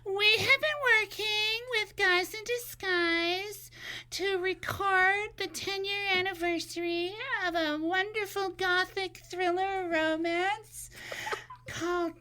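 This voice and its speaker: A woman giving careful answers in a measured, deliberate way. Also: extremely high pitch, fairly even intonation, pushed and bright. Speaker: guest introducer.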